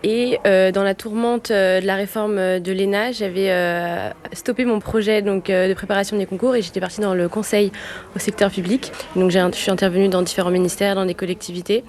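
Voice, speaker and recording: A woman's voice: medium pitch, steady tone, a little fast, a little mellow, a little hiss or hum. A young woman from France, a civil service student, answering interview questions earnestly with many hesitations.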